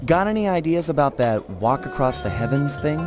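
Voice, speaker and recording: Cocky, animated young hero thief. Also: male voice, on the high side, brisk, music in the background. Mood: easygoing wry. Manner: cool, confident, wry. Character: cocky, heroic young male thief, loyal leader. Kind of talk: video game cutscene acting.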